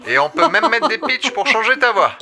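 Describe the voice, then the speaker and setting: A man's voice: extremely high pitch, very animated, a little fast, exaggerated voice. A man playing a playful, helpful studio mentor who explains like a showman in an audio comedy drama. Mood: playful, instructive. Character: playful, helpful male sound-studio mentor showing off tricks. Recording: music clearly behind the voice.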